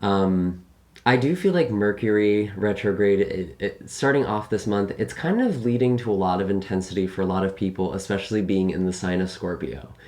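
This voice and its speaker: A young man speaking earnestly to a camera at his desk in a small room, his voice sitting deep and low. It is a little raspy from a long day of recording, and he leans on fillers as he talks through the month ahead. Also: expressive, moderate pace, a little mellow. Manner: earnest, filler-heavy, gestures with hands. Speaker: tarot reader. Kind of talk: casual monthly forecast to camera. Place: small room by a window at home.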